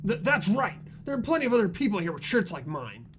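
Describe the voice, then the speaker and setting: A man's voice: high-pitched, very animated, fast, slight background noise. A man voicing a hot-headed, defensive young male student accused of wrongdoing in an amateur video game dub. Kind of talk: amateur voice acting in a visual novel playthrough.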